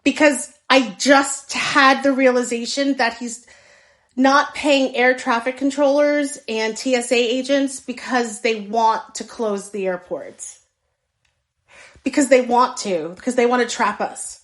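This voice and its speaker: A woman's voice: on the high side, fairly even intonation, measured pace, fairly bright tone. A woman talking casually in a social media video, anxious and urgent, with many filler words.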